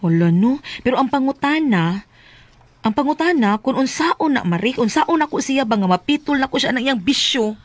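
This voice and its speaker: Woman doing fed-up nagging wife in a comedy skit, really high voice that jumps around a lot. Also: lively intonation, moderate pace, dry recording. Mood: fretful. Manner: scolding, exasperated. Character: long-suffering, nagging older wife of a gambling husband. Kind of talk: exaggerated comic Filipino drama acting.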